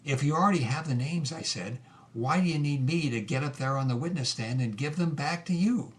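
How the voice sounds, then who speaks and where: A man's voice: a little high, a little fast, natural voice. An actor playing a bitter, defeated man voicing his farewell letter in an audio drama. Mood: bitter, sardonic.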